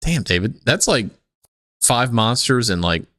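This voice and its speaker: A man's voice: medium pitch, sing-song, measured pace, a bit bright, no room sound. A man co-hosting a casual podcast, joking and chiming in with playful, teasing banter. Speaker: podcast co-host.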